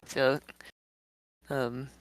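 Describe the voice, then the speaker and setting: A woman's voice: very deep voice, flat delivery, extremely slow, dry recording, low-quality recording. A woman giving casual behind-the-scenes commentary as a comic creator, rambling and thinking aloud as she goes. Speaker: webcomic creator guest.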